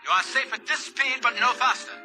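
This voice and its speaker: An actor playing an earnest Portuguese sea diver with careful, clipped, formal phrasing, in a 1950s radio drama. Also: male voice, extremely high pitch, a little fast, bright, forceful tone, exaggerated voice. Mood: careful, steady. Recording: vintage radio recording with music.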